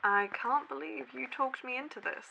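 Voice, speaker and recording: A woman's voice: sits high, normal speed, creaky, pushed and bright, highs cut off, a little hiss or hum, full character voice. A woman playing a skeptical young college student and podcast co-host in an audio drama, dry and sardonic in manner. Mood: doubtful, sarcastic. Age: young adult.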